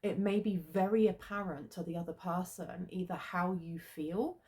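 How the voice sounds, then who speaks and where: A woman's voice: on the low side, steady tone, normal speed, mellow. A woman with a head cold, sniffly, giving a chatty tarot card reading with plenty of ums. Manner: chatty, many filler ums. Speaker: tarot reader.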